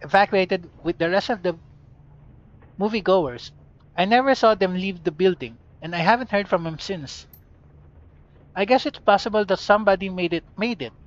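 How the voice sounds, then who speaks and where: A man's voice: high voice, normal speed, close to an everyday voice. Gobbet, a blunt mercenary woman, read by a man. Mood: offhand. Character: blunt, reckless woman mercenary, shrugging and unbothered. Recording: dull lo-fi recording.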